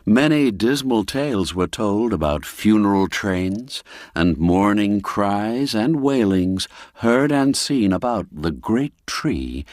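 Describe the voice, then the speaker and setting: A man reading a classic folk mystery tale as a storyteller, in a measured and expressive way. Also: fairly low pitch, no echo, clean studio sound. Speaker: story narrator.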